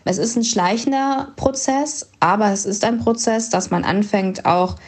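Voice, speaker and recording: A woman in her twenties, an actress, talking about her career in a relaxed, chatty way with frequent fillers. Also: medium pitch, moderate pace, husky. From Germany, Palatinate. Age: 20s. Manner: chatty, reflective, many fillers. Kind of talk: interview answers, casual.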